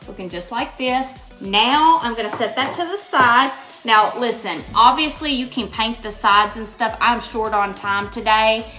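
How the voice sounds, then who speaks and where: A woman's voice: mid-range voice, pushed and bright. A woman with a Western Kentucky Southern accent, chatting casually and folksily as she hosts a live craft tutorial. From Western Kentucky, United States. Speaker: craft host. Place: indoors at a home craft table.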